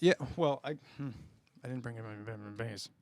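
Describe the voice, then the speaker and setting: A man, a guest musician on a podcast, talking casually with hesitant, halting speech. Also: medium pitch, dry recording. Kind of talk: casual chat.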